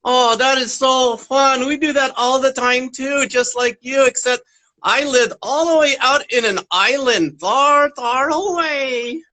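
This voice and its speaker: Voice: sits high, measured pace, pushed and bright. A puppeteer voicing a friendly young baby raptor dinosaur, chatty and childlike, in a children's puppet show. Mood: friendly, eager. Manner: childlike, repeats little words, storytelling.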